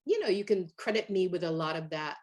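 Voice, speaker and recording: A middle-aged woman from Hungary, a guest in an interview, telling her story in a lively way with fillers and laughs. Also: lowish voice, fast. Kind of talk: conversational interview.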